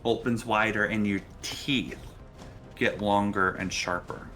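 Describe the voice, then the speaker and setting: A man with a bright, forward voice and a fairly low pitch acts as game master, narrating a tabletop roleplaying session. Faint music plays behind him. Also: fairly even intonation, takes it slowly.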